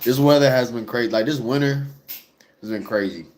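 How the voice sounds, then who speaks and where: A young man talks to his viewers in a loose, rambling way, piling up fillers and slang. His voice sits at a middle pitch and sounds stuffed up and congested. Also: brisk, a little mellow, slight background noise. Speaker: vlogger. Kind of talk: casual chat to viewers.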